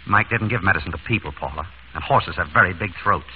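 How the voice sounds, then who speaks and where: An actor playing an urbane, wry surgeon turned amateur sleuth in an old-time radio drama. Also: male voice, low-pitched, fast, lightly acted. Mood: intent. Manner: urbane, wry, composed under threat. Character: suave, quick-witted male surgeon turned amateur sleuth. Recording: tinny, noisy vintage radio recording.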